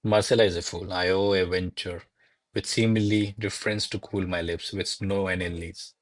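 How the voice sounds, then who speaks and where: Man as guarded male secretary, lowish voice, deferential, measured formal verse, classical radio play. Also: fairly even intonation, lightly acted.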